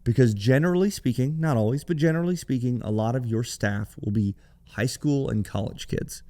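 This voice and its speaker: A man hosting a podcast alone, talking in a conversational, explanatory way, his voice hoarse and raspy from cheering. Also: medium pitch, brisk, mellow. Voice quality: gravelly, raspy. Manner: conversational, explanatory, with some restarts. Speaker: podcast host. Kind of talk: solo business podcast talk.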